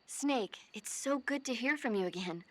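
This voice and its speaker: An actress playing a caring female military medic in a video game, earnest, playful and gently scolding. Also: fairly high pitch, lively intonation, moderate pace. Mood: friendly teasing.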